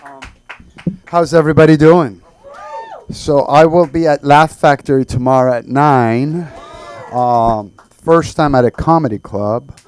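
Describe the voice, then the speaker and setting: A man from Iran performing a stand-up set in a halting, conversational way. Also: on the high side, a little mellow, slight background noise. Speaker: stand-up comedian. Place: small comedy open mic.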